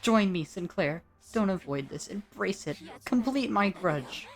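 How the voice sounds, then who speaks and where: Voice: mid-range voice, animated, measured pace, vocal fry, faint music behind, voice pushed up. A performer voicing a gloating, fanatical female villain of monstrous flesh, in a taunting, theatrical way. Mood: zealous.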